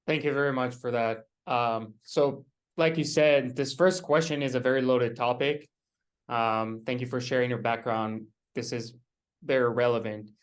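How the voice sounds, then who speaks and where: A man's voice: mid-range voice, steady tone, normal speed. A young man hosting an event, formally reading an introduction and audience questions. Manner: formal, reads from notes. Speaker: event host.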